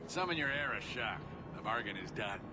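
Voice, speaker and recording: A man's voice: medium pitch, lively intonation, fairly quick, very noisy, natural voice. A man voicing a brash, greedy dwarf merchant in a video game, talking in a cocky, blustering way.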